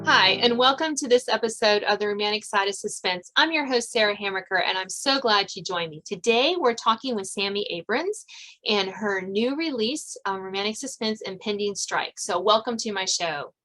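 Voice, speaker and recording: Bright-voiced woman hosting an interview. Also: mid-range voice, moderate pace, rough recording. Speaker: podcast host.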